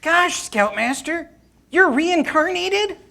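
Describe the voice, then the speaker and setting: Eager young boy space cadet played by a woman: high, bouncy intonation, cheeky and excitable, retro radio adventure spoof. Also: slow pace, creaky, full character voice. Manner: gee-whiz, excitable. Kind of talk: retro radio serial parody.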